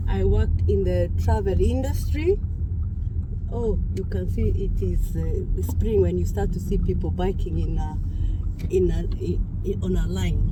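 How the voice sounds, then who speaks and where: A Kenyan woman in her fifties with a Kikuyu accent, chatting casually to her viewers with many restarts. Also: medium pitch. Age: 50s. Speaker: vlogger. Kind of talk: casual vlog talk to viewers. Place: outdoors near a busy street. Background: passing traffic.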